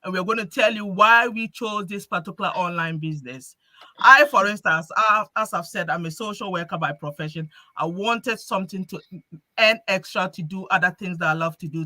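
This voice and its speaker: A woman's voice: on the low side, bright, forceful tone, no room sound. A woman hosting a live-stream group chat, talking in a chatty way and often restarting her phrases. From Ghana. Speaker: host.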